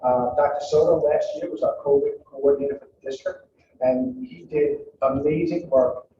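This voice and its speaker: A man's voice: a little high, steady tone, moderate pace, background noise. A man, a school district leader, giving a public presentation to families in a conversational way, with many ums and restarts. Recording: echoey hall, far from mic, dull.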